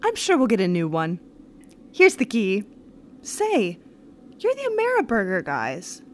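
A woman's voice: mid-range voice, huge pitch swings, measured pace. A woman playing a friendly, folksy 1930s lodge hostess in a radio drama, with chatty, slangy talk.

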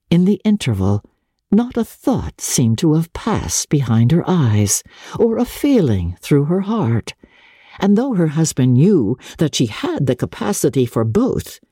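A woman narrates classic fiction in a dark, mellow voice with a husky edge. Her delivery is slow and theatrical, with richly varied intonation. The sound is close and dry. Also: low voice, dry recording. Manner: measured, expressive, theatrical. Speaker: audiobook narrator and radio presenter. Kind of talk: dramatic literary audiobook reading.

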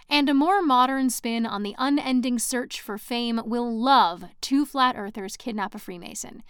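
A woman's voice: sits high, smooth, no room sound, clean studio sound. A woman hosting a podcast, giving a chatty, enthusiastic scripted introduction. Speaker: podcast host and producer.

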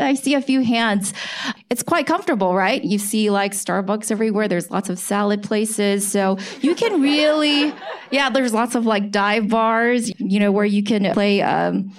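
Woman, from the US, interviewer, chatty, animated, stage mic, live audience. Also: medium pitch. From United States. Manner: chatty, animated, with fillers like "like" and "right?". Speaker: podcast co-host, interviewer. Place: event hall with live audience.

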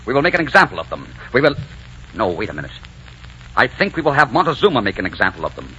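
An actor playing a commanding, lovestruck Spanish conquistador in a 1940s radio drama. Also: male voice, medium pitch, huge pitch swings, fast, pushed and bright, a little hiss or hum. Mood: stern, commanding. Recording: dull 1940s radio recording.

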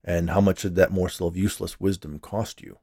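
A man voicing a grumpy, sarcastic, dismissive husband with a gruff, grumbling delivery in a storybook reading. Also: low voice, fairly even intonation, fairly quick, a little mellow, no echo, clean studio sound.

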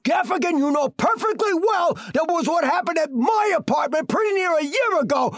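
An actor in a 1940s radio drama playing a brash, working-class husband who narrates and hollers in outrage. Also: male voice, on the high side, big pitch swings, unhurried, no room sound, clean studio sound, exaggerated voice. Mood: outraged. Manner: hot-tempered, yells and hollers.

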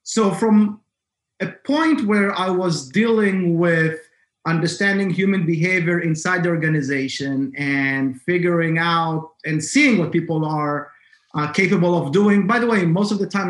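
A middle-aged man with an Israeli accent, talking conversationally as an interview guest. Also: on the high side. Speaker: guest, cybersecurity entrepreneur. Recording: roomy, echoing sound.

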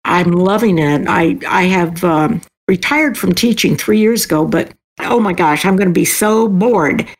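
A woman's voice: fairly low pitch, measured pace. An older woman chatting casually as an interview guest, enthusiastic and emphatic in how she talks. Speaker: podcast guest, author.

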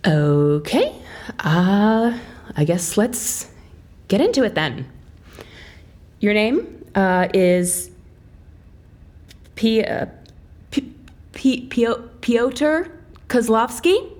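An actress playing a flustered, unsure agent questioning a prisoner in an audio drama, hesitant and stammering. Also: female voice, medium pitch, very animated, very slow, pauses often, darkish tone, full character voice. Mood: confused, hesitant.

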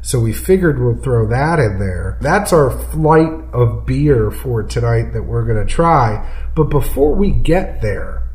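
A man's voice: mid-range voice, expressive, unhurried, a little mellow. A man co-hosting a podcast in easygoing casual chat, with a nasal voice that is stuffy from a cold. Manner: easygoing, laughs while talking. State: congested, under the weather. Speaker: podcast co-host.

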